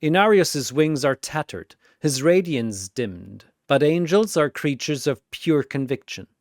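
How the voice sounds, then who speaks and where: Slow, dramatic storytelling narrator. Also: medium pitch, animated, dry recording, clean studio sound.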